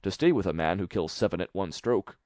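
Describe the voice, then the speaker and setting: A man's voice: low voice, expressive, a little fast, darkish tone, dry recording, studio quality. A man voicing grumbling, fearful soldiers in a fairy tale, speaking with anxious emphasis. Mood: firm refusal.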